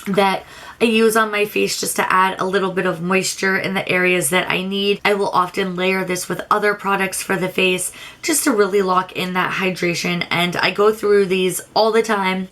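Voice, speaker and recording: A young adult woman beauty vlogger talking casually through product reviews, candid and rambling with frequent restarts, her voice a little raspy. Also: mid-range voice, fairly even intonation, moderate pace, fairly bright tone. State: slightly raspy voice.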